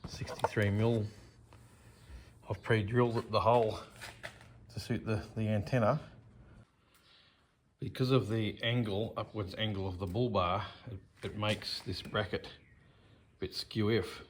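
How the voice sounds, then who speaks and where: Low-voiced man giving DIY walkthrough, noisy background. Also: steady tone, a little slow, pauses often. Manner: plain, matter-of-fact, step by step. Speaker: DIY presenter. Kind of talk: hands-on how-to narration.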